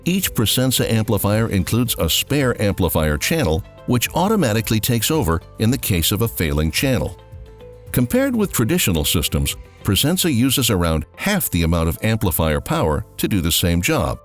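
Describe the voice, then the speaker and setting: A male narrator reads a corporate explainer script in a fairly low voice. His pitch moves in a lively way over light background music. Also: normal speed. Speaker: promotional video narrator.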